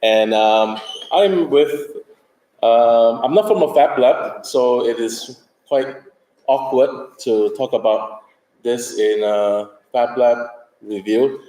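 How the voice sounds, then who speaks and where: A man speaks slowly to a hall, his voice mellow and dark. He hesitates often with ums and drops light jokes as he presents. The sound carries a roomy echo. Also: medium pitch. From Singapore. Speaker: conference speaker. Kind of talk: presentation talk.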